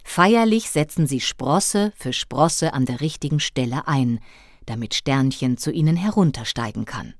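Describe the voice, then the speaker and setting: Lively woman narrating a children's story. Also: rumbling low, a little slow, dry recording, studio quality. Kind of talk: scripted children's audio-play narration.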